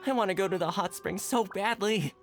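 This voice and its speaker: An actor playing a friendly, easygoing young man in hiding, chatty and relaxed in a game cutscene. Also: high-pitched, fairly quick, faint music behind.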